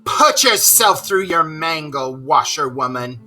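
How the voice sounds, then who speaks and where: A man's voice: fairly high pitch, expressive, slow pace, pushed and bright, faint music behind, heavily acted. A man voicing a vain, hot-tempered, pompous toad in a children's storybook read-aloud. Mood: taunting. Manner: pompous, blustering.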